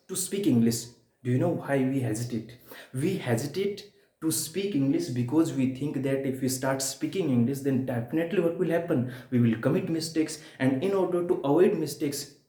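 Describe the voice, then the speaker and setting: A man from India with an Indian accent and Hindi as his first language, giving a spoken-English lesson in a repetitive way, with rhetorical questions and emphatic pauses. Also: medium pitch, mellow. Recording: echoey room.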